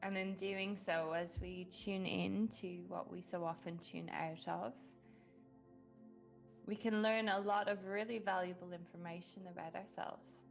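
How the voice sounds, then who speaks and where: A woman leading a guided meditation in a gentle, unhurried way with long pauses. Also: fairly low pitch, fairly even intonation, creaky. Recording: music behind the voice. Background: background music.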